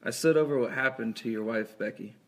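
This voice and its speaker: A medium-pitched, sober voice from a man acting an earnest eye surgeon in an amateur film. He is grave and measured with his patients. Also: fairly bright tone, natural voice. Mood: grave, businesslike. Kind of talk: amateur scripted film dubbing.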